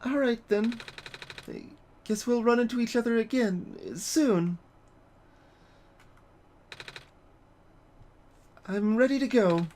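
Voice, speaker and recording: A woman's voice: a little high, unhurried, dark tone, slight background noise, natural voice. A woman voicing an innocent, curious child traveller in a video game, polite and hesitant. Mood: resigned. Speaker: let's play voice-over reader. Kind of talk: acted reading of game dialogue.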